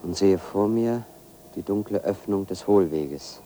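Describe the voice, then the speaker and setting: An actor playing a solitary, brooding man who narrates his own walk in a measured, deliberate way, as in a radio play. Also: low voice, mellow, a little hiss or hum. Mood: brooding, observant. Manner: measured, deliberate, literary first-person narration. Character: solitary brooding man walking into a dark forest. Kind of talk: radio play interior monologue.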